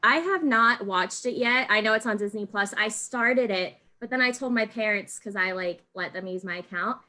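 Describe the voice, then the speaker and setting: A woman with an American accent hosts a casual interview. Her voice is bright and sits at a middle pitch, and she speaks informally with a teasing edge. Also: moderate pace. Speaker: podcast host, interviewer.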